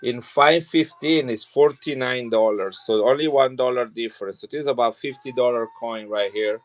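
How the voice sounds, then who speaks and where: A man's voice: mid-range voice, moderate pace, faint music behind. Chatty man selling coins. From Italy. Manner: chatty and rambling, with frequent restarts. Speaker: coin seller on a live stream. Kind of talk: casual live sales chat.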